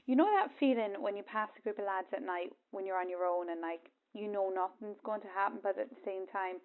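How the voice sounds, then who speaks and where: A woman's voice: mid-range voice, a little fast. An actress playing an uneasy, apologetic young woman with an Irish accent in a naturalistic radio drama, heard over a phone call. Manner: nervous, hesitant, apologetic. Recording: tinny narrow phone line.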